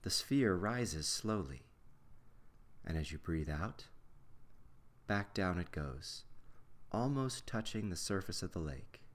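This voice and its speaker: Very low-pitched man guiding a meditation. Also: lively intonation. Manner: measured, instructional delivery with deliberate pauses. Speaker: guided meditation narrator. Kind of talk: scripted guided visualization.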